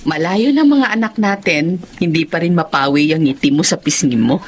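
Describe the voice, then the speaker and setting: A woman's voice: deep voice, animated, moderate pace. A woman playing a tender, contented middle-aged wife in a radio drama. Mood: teasing, contented. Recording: music behind the voice.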